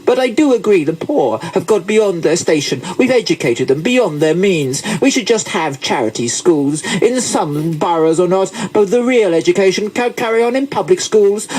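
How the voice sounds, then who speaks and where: A man voicing a pompous, nostalgic, snobbish gentleman in a told story, with a languid, drawn-out delivery. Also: high-pitched, pitched above the performer's own voice, poor audio. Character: snobbish old-fashioned gentleman, nostalgic for harsh schooling.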